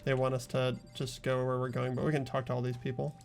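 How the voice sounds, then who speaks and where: A man's voice: mid-range voice, quick pace, creaky, soft background music. A man hosting a game playthrough with friends, chatting casually and thinking aloud. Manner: relaxed, easygoing, thinking aloud. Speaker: host playing a game with friends. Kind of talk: casual gameplay chat.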